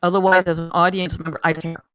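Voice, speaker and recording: Medium pitch, dry close sound, halting delivery, repeated words, casual critique. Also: little pitch movement, a bit bright, smooth, dry recording. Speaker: table read participant giving script feedback.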